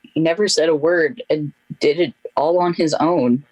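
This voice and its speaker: A woman plays a regretful, grieving friend in a radio drama. The voice is low for a woman. The recording is dry and close. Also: moderate pace, a little mellow, no echo, rough recording. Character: grieving, regretful young friend named Ryder. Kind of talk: radio play acting.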